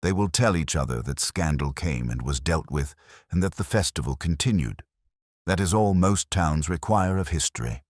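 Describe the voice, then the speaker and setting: A man voicing a dry, unhurried police inspector in a detective story, speaking in measured, gently ironic aphorisms. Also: rumbling low, lively intonation, dry recording. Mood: wry.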